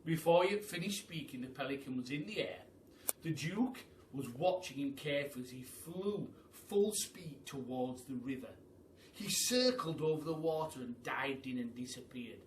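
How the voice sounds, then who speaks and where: A man's voice: medium pitch, animated, normal speed, slight background noise. A man reading a children's story aloud in the manner of a primary school storyteller. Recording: roomy echo, far from mic.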